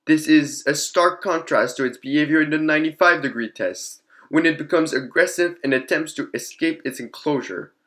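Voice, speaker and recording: A man's voice: fairly high pitch, moderate pace, hardly any pauses, bright, forceful tone, pitched above the performer's own voice. A man playing a clinical researcher who dictates an experiment journal in a detached, formal, matter-of-fact way. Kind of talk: scripted audio log.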